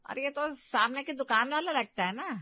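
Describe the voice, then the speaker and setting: A woman's voice: high-pitched, moderate pace, no echo, lo-fi sound, voice pushed up, lightly acted. A woman voicing a stern, suspicious older mother-in-law who scolds in an indignant, accusing way for an audio story. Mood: grudging.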